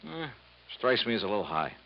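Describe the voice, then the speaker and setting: A shrewd american radio detective, lively up-and-down voice, old muffled 50s broadcast. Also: male voice, fairly low pitch, brisk, vocal fry. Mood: shrewd, skeptical. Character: shrewd male investigator bluffing as a businessman. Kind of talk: 1950s radio detective acting. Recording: muffled, hissy 1950s radio recording.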